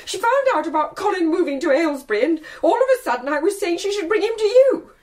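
An actress playing a flustered, guilt-ridden aunt in a radio comedy drama, fretful and apologetic. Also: female voice, very high voice, expressive, quick pace, a little mellow. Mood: apologetic, guilty.

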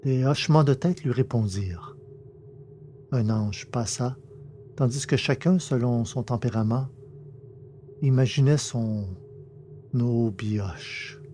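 A man narrating an audio drama in a measured storytelling way. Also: medium pitch, warm tone, faint music behind. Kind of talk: scripted narration.

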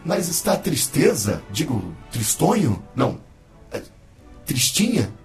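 An actor playing a bumbling, excitable, lovestruck fellow in an audio drama, stammering and exclaiming in a theatrical, flustered way. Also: male voice, medium pitch, slow pace, soft background music, heavily acted.

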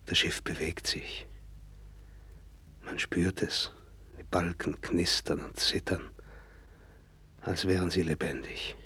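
An actor playing a troubled prophet fleeing his God by sea in a 1960s German radio play, low and husky, in slow halting phrases. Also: a bit bright, no room sound, full character voice.

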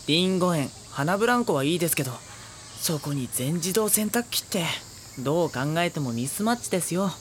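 Woman playing a low-voiced, exasperated schoolboy. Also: a little fast, slight background noise, close to an everyday voice. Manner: deadpan, retorting. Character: exasperated, dry-witted high school boy, literary club junior. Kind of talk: anime audio drama acting and narration.

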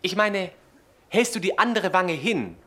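A man's voice: high voice, animated, measured pace, a bit bright. A man playing a cheeky, lecherous young Roman nobleman in a German radio play, mocking and leering in a theatrical way. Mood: leering teasing.

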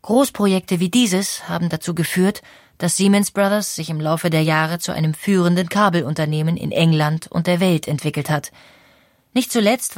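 Woman, lowish pitch, scripted documentary narration, explanatory, very dry recording. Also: no room sound.

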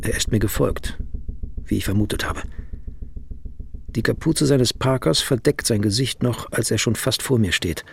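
An actor playing a brooding writer who narrates his own dark story in an audio drama, measured and somber. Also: mid-range voice, gravelly, slight background noise, lightly acted. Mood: somber, watchful. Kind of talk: literary first-person audio drama narration.

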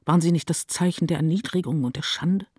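A man's voice: a little high, fast, a little mellow, breathy edge, no room sound, studio quality. A man narrating a literary radio drama in a scripted style.

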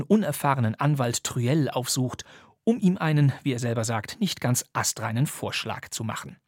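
A man presenting a scripted introduction as a podcast host, in a husky voice. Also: mid-range voice, dry recording, clean studio sound.

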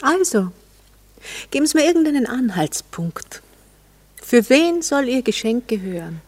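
A woman's voice: a little high, very animated, measured pace, darkish tone. Arch, guarded bourgeois lady. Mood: curious, needling. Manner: arch, reproving, mocking. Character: proper married bourgeois lady, guarded, coolly mocking. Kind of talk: German radio play acting.

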